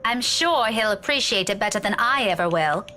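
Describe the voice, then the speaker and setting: An impatient, prickly young woman in a game drama. Her voice is sharp and animated, with sardonic, clipped replies. Faint music plays behind it. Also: medium pitch, normal speed. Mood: dismissive. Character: irritable, curt, weary young woman with prickly temper. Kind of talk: visual novel voice acting.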